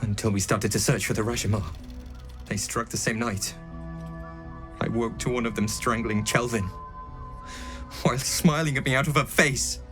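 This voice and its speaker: A man's voice: mid-range voice, fairly even intonation, normal speed, long pauses, over music, close to an everyday voice. An actor playing an earnest, nervous young male recruit in a video game, hesitant and deferential. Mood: shaken, guilty. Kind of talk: dramatic video game acting.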